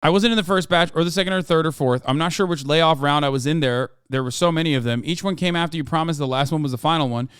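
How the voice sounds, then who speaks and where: A man's voice: fairly high pitch, quick pace, hardly any pauses, fairly bright tone, clean tone, dry recording, studio quality, close to an everyday voice. A man voicing a frank, wry Icelandic entrepreneur with a put-on Icelandic accent, reading a written statement aloud.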